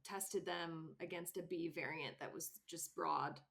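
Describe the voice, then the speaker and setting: A woman's voice: on the low side, fairly even intonation, measured pace, vocal fry, a bit bright. A woman hosting a podcast interview in a casual, conversational way.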